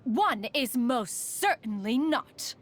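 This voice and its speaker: Haughty, high-voiced immortal lady, game character. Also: animated, takes it slowly, soft background music. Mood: proud, formal. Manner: haughty, archaic formal speech, refers to self as "one". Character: proud, aloof immortal female adeptus, secretly caring. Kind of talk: theatrical video game character voice lines.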